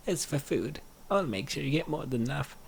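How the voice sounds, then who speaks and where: A man reads out comic dialogue in character. His voice is heavily fried and gravelly, set a little higher than most men's. Faint noise sits behind the recording. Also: animated, fairly quick. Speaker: comic dub voice actor. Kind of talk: acted reading of comic dialogue.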